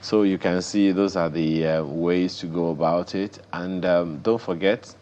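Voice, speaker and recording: A man's voice: low voice, steady tone, unhurried, darkish tone, highs cut off. A man with a Nigerian accent hosting a television morning show, chatting in a teasing, joking way. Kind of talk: live television interview chat.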